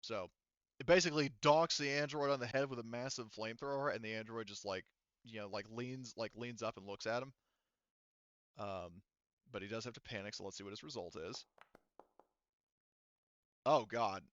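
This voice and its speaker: A man acting as game master for a tabletop roleplaying game, narrating casually and haltingly as he thinks through the rules aloud. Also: mid-range voice, a bit bright, clean tone, no echo, highs cut off.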